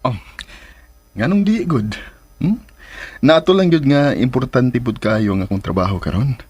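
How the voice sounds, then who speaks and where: A man acting a busy, apologetic young businessman and boyfriend in a Filipino radio drama, with a Bisaya accent and a husky voice. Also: medium pitch, lively intonation, unhurried, a little mellow. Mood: apologetic, pleading.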